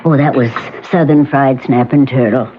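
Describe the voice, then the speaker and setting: An actress in an old radio drama playing a bitter, demanding wife in a wheelchair, insistent and sardonic. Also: female voice, rumbling low, steady tone, moderate pace. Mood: dryly composed. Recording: muffled old radio broadcast, noisy.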